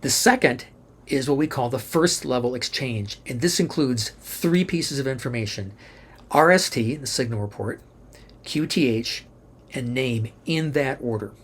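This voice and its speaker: A man's voice: mid-range voice, unhurried, breathy edge. A man narrating an instructional tutorial, explaining methodically step by step and spelling out letters one at a time. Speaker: instructor and presenter.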